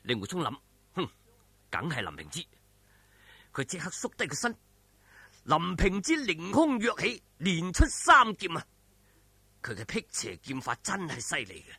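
A man's voice: sits high, wildly swooping pitch, normal speed, creaky, bright, forceful tone, breathy edge, no room sound. A man with a Hong Kong Cantonese accent telling a martial arts story in a dramatic, colloquial way, with exclamations and vocal sound effects. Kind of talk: animated oral storytelling of a martial arts novel.

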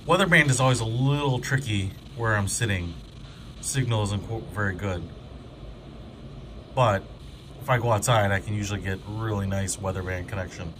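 A man's voice: lowish voice, moderate pace, noisy background. A man giving a casual hands-on product review in an informal, enthusiastic, conversational way.

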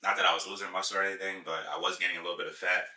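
A man's voice: low-pitched, level tone, rapid-fire, pushed and bright, far from the mic, a little hiss or hum. A young man chatting casually on a fitness vlog, laid-back and slangy, filmed at home and outdoors on a camera mic. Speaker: fitness vlogger. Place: home kitchen and outdoor field. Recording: roomy sound from off mic.

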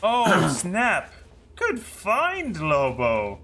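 A man chatting excitably and playfully with crewmates as he plays a game. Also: sits high, drawn-out words, background noise. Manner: exclamatory, playful. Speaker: gaming streamer, crew captain. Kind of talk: excitable gameplay chat with crewmates. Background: game sound effects and ambience.

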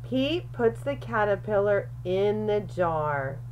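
A woman reading a children's picture book aloud in an expressive, deliberate storyteller's manner. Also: medium pitch, highs cut off, a little hiss or hum. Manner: animated, deliberate, with questions and asides to young listeners.

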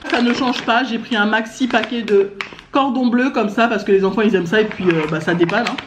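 A woman's voice: fairly high pitch, fairly quick. Woman, family vlogger, slangy casual chat, echoey room, background noise. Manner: informal, slangy. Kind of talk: casual haul vlog chat. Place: indoor living room at home.

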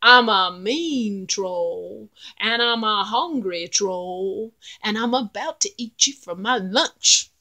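A woman voicing a mean, hungry, dim-witted male troll who talks in a slow, loud, menacing drawl. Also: medium pitch.

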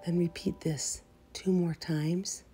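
A woman leading a guided meditation in a soft, soothing, unhurried voice that turns breathy at the ends of phrases. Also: on the low side, steady tone. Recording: meditation music behind voice. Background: soft meditation music.

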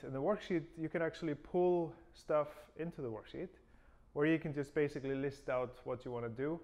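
Man, forties, gravelly voice, casual step-by-step software tutorial, lots of ums. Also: mid-range voice. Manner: relaxed, explains step by step with many ums. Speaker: software trainer. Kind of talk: casual screen-share tutorial. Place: room with a brick wall and whiteboard, then voice-over of screen recording.

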